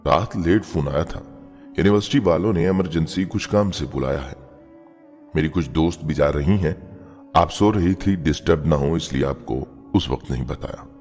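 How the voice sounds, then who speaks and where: Man voicing an emotional young woman, very deep tone. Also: normal speed, a little mellow. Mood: covering up. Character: educated young woman, lovestruck, torn by guilt. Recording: long reverb, music bed behind.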